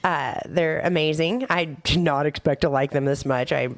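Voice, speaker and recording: A woman in her fifties speaking frankly in casual chat, blunt and quick to swear. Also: low-pitched, fairly even intonation, noisy background. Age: 50s. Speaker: guest.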